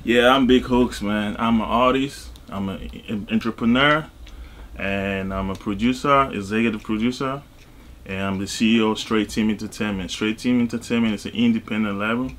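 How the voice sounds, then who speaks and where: A man from Cameroon, talking casually as an interview guest in a halting way, with frequent asides. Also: fairly low pitch, fairly even intonation, fairly bright tone, a little hiss or hum. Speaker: interview guest, music artist and label executive.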